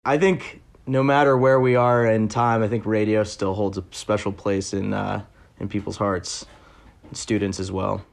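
A man's voice: fairly low pitch, little pitch movement, measured pace, gravelly. A man who is a reporter, answering interview questions in a loose, casual way, full of likes and uhs. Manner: loose, filler-heavy talk with many likes and uhs.

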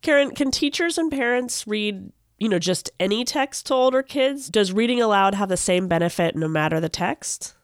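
A woman's voice: medium pitch, animated, normal speed, few pauses, no room sound, clean studio sound. A woman working as a podcast host and radio reporter, narrating and asking questions conversationally.

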